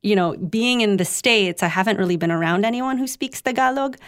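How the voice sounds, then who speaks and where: A woman's voice: medium pitch, a little fast, clean tone. A woman from the Philippines hosting a podcast, chatting conversationally and guiding the segments. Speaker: podcast host.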